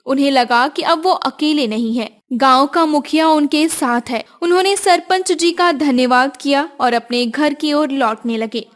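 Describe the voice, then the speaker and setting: A woman's voice: high-pitched, moderate pace, hardly any pauses. A woman narrator reading a scripted moral tale for a cartoon in storybook style.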